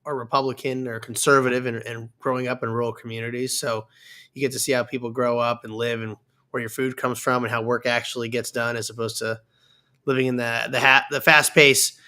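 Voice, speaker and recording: A man's voice: medium pitch, level tone, a little fast, pushed and bright. A man in his thirties hosting a podcast, chatting casually as he interviews a guest. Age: 30s. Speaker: podcast host.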